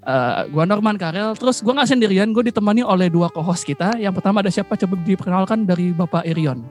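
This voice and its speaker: A man with an Indonesian accent and a soft, imperfect R, hosting a casual podcast in a playful, joking, boastful manner. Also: high-pitched, fast talker, soft background music. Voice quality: rhotacism, soft R. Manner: playfully boastful, joking, code-switches into English. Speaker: podcast host.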